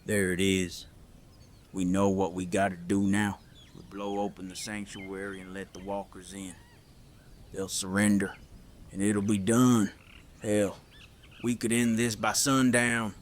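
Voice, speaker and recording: An actor playing a gruff, vengeful male survivor in an audio drama, speaking in a terse, folksy, defiant way. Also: on the low side, level tone, measured pace, long pauses, natural voice. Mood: determined.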